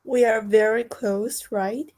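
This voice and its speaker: Slow, hesitant woman from China. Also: a little high, fairly even intonation, darkish tone. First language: Chinese. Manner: hesitant, searching for words. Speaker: conversation partner. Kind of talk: casual online conversation practice.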